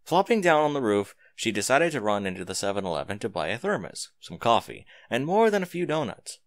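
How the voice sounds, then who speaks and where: A man delivers dramatic, scripted story narration at a middle pitch. His intonation rises and falls widely, and the recording is dry and close. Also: very animated, brisk, dry recording. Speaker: fan fiction narrator.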